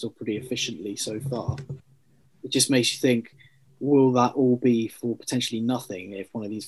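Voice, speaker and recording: Brief: man, mid pitch, blunt and animated, colloquial talker. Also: low-quality recording. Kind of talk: casual discussion.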